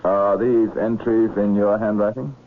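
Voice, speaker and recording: A cool, businesslike investigator in a vintage radio mystery. His voice is on the low side, measured and probing. The recording is boxy and narrow with faint noise. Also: steady tone. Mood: probing, firm. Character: cool, methodical male investigator questioning a murder suspect. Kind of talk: vintage radio drama acting.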